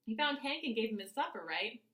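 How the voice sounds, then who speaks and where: A woman's voice: fairly high pitch, expressive, a little fast, sharp tone, reverberant, voice pushed up. A woman voicing a genial, teasing, mildly grumbling suburban dad in a children's story. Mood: impatient.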